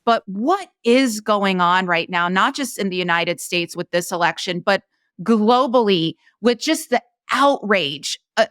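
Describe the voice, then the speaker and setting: A woman's voice: mid-range voice, fairly bright tone, smooth, dry recording, clean studio sound. A woman hosting an interview in a casual, conversational style. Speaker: podcast host.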